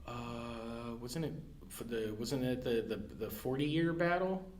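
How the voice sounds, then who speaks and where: A man's voice: mid-range voice, slow. A man who is a podcast guest, hesitant and searching for answers, laughing at himself. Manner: hesitant, searching for words, self-deprecating laughs. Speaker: podcast guest, library staff. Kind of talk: casual quiz chat. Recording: roomy echo with faint background hiss.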